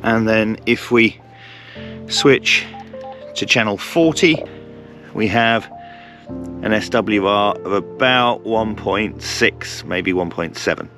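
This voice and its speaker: A man's voice: fairly low pitch, takes it slowly, long pauses, pushed and bright, soft background music. A middle-aged man presenting a casual hobby tutorial, explaining step by step in a hesitant way.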